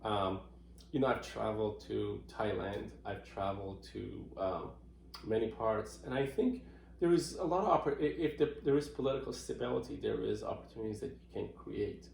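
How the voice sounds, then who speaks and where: A middle-aged man from Iran answering interview questions in a thoughtful, unhurried way, with many fillers and restarts. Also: fairly low pitch, creaky, a little mellow, slight background noise. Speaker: interviewee, marketing professional. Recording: roomy echoey room.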